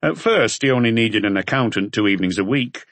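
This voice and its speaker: A man's voice: lowish voice, lively intonation, fairly quick, a bit bright, no room sound. A man voicing a short, grumpy accountant in an audiobook, curt and guarded in manner. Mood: guarded, explaining. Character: short grumpy male accountant, defensive but talkative.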